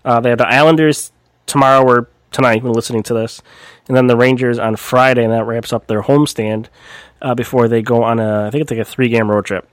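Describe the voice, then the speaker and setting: A man's voice: mid-range voice, steady tone, quick pace, no room sound. Man with a cold, rambling podcast host. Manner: rambling, many fillers and restarts. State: recovering from a cold, slightly raspy. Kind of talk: casual sports podcast chat.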